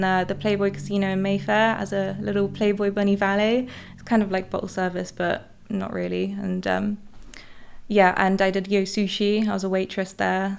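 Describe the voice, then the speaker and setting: A woman, a podcast guest chatting casually in a relaxed, soft-spoken way. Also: medium pitch, creaky, clear, resonant tone. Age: 20s. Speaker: podcast guest, content creator. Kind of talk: casual chat.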